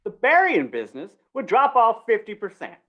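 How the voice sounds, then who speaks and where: A woman's voice: on the high side, wildly swooping pitch, moderate pace, lo-fi sound. A woman acting a flustered, wheedling small-town male undertaker in a 1950s-style Western radio play, stammering in rustic speech. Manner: stammering, pleading, rustic grammar.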